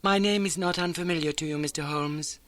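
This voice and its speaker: A man's voice: on the high side, steady tone, fairly quick, fairly bright tone, dry recording, voice pushed up, natural voice. A man voicing a mysterious, composed woman in an audiobook, speaking in a well-modulated, pleasing way. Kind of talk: audiobook character dialogue.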